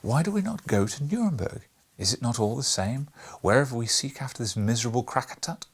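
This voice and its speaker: A man's voice: mid-range voice, animated, fairly quick, breathy edge. A man voicing an earnest, emotional astronomer from a storybook, speaking in a formal, declamatory way. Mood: coaxing.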